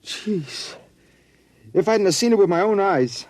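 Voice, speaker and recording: An actor playing a nervous, soft-hearted henchman who stammers and talks in anxious slang, in an old-time radio drama. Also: sits high, lively intonation, a little slow, dry recording. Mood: panicky, pleading.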